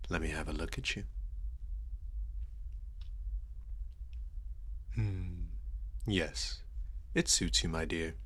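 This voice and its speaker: A man's voice: low voice, a little slow, a bit bright. A man playing a seductive, sadistic vampire lord in an intimate ASMR roleplay, suave and formally polite with a teasing menace. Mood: suave, admiring. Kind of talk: ASMR roleplay, slow intimate dramatic delivery.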